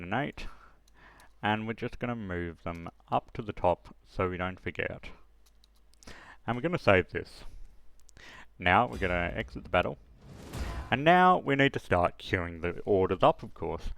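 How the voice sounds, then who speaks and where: A man with a low voice and a noticeable creak presents a tutorial. He talks casually, thinking each step through out loud and sometimes hesitating. The recording is close and dry. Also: dry recording.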